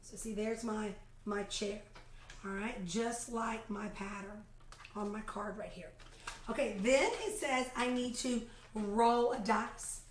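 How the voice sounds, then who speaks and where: A woman with a slightly raspy voice, demonstrating classroom math activities in a casual, chatty way and counting aloud as she goes. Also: medium pitch, steady tone, slow pace, echoey room, slight background noise. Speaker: kindergarten teacher showing math activities. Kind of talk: casual live video demonstration. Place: home, a phone ringing in the house.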